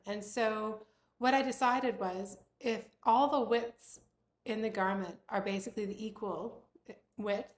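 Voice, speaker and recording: A woman in her forties or fifties from Bermuda, hosting a knitting podcast in casual chat, her voice a little croaky and her talk rambling with lots of fillers. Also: medium pitch, roomy sound. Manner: chatty, rambling with many fillers. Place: room at home with doors closed.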